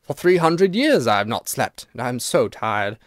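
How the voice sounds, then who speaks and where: A man's voice: medium pitch, big pitch swings, moderate pace, no room sound, clean studio sound, lightly acted. A man as a mournful, weary ancient ghost. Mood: sad, dreamy. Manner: dreamy, sighing, mournful. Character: weary, sorrowful old male ghost, centuries old. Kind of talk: storybook narration character.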